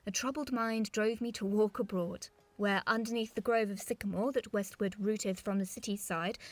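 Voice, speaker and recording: A woman's voice: on the high side, dry recording, natural voice. A woman playing an earnest, peacemaking young noble in a Shakespearean audio drama. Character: Benvolio, concerned peacemaking young noble kinsman.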